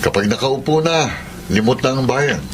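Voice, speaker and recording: An actor playing a grave, principled older brother and political candidate in a Filipino radio drama, speaking soberly. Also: male voice, mid-range voice, moderate pace, noisy background, lightly acted. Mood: grave, concerned.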